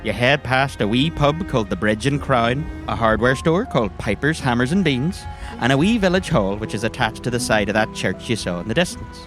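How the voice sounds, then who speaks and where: A man's voice: medium pitch, expressive, fairly quick. Irish man narrating over music. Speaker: game master. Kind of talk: wry scripted narration.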